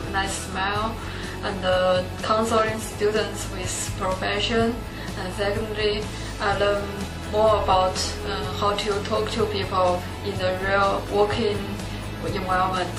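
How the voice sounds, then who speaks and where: A woman's voice: mid-range voice, steady tone, unhurried. A woman in her twenties with a Chinese accent, giving an orderly testimonial point by point as an intern. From China. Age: 20s. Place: small office room indoors. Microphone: camera mic at short distance. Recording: roomy office with background music.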